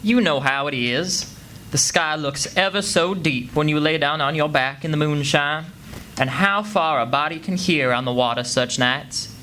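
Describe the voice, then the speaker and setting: A man's voice: fairly high pitch, moderate pace, bright, forceful tone. A man playing a plucky, crafty country boy telling his story in a radio play, folksy. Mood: dreamy, amused. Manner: folksy, plain-spoken. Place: live performance before an audience. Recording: faintly noisy live stage recording.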